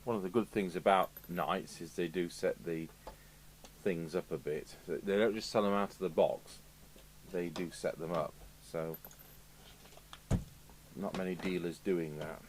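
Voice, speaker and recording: Low-pitched man, English accent, casual repair commentary, rambling and musing aloud, humming background noise. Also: fairly even intonation, unhurried, pauses often. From United Kingdom. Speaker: radio repair technician and video host. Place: indoor electronics repair workbench. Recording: clear background noise with electrical hum.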